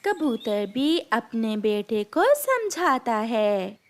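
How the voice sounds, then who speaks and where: High-pitched woman narrating a children's story. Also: sing-song, extremely slow.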